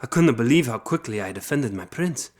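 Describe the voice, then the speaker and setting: A man's voice: mid-range voice, lively intonation, brisk, a little mellow, close to an everyday voice. A man acting a grave knight telling his past. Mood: reflective. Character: weathered male knight recounting his childhood. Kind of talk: audio drama storytelling.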